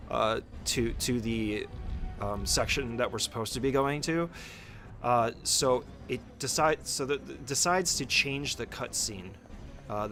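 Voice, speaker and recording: A man's voice: medium pitch, a bit bright. A man giving an informal, step-by-step speedrun tutorial as casual gameplay commentary, swearing freely.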